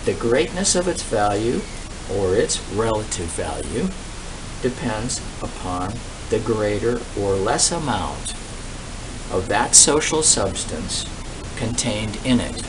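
A man in his sixties reads a speech aloud outdoors, with deliberate, emphatic phrasing, pauses and repeated words. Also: fairly low pitch. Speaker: reader of a political economy text. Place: outdoors on a brick-paved patio. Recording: distant mic, steady outdoor background noise.

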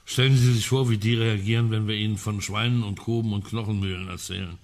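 A man acting in a German radio crime drama as a gruff, sardonic colonel who muses aloud emphatically. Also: lowish voice, fairly even intonation, quick pace, lightly acted. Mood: dry, emphatic. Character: gruff, sardonic male colonel in an amateur sleuthing trio.

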